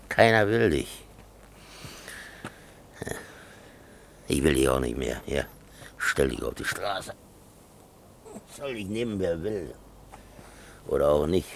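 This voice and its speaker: An actor playing a grumpy, weary, stubborn old man in a German radio play, gravelly and curt, muttering his grumbles. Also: extremely low pitch, slight background noise, exaggerated voice. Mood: resigned grumbling. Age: older.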